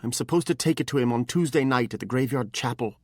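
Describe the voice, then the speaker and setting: A man voicing an anxious, earnest teenage boy apprentice who talks fast, pleading and emotional, in a storybook reading. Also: mid-range voice, darkish tone, no room sound, studio quality, pitched above the performer's own voice, natural voice.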